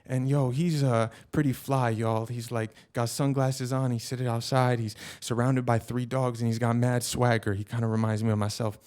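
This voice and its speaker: A middle-aged man performs live personal storytelling. He talks in an animated, colloquial way with slangy asides, and his voice sits at an average male pitch. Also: normal speed, slight background noise.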